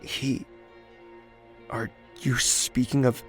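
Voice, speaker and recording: An actor playing a weary, guarded young prince in an audio drama. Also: male voice, mid-range voice, little pitch movement, very slow, faint music behind. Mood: anxious. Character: wary, weary young prince recovering from confinement.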